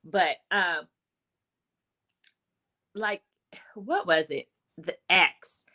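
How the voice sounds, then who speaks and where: A woman's voice: on the low side, extremely slow, pushed and bright. A woman chatting casually on a livestream with a slight head cold, telling stories and acting out remarks. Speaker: live stream panelist.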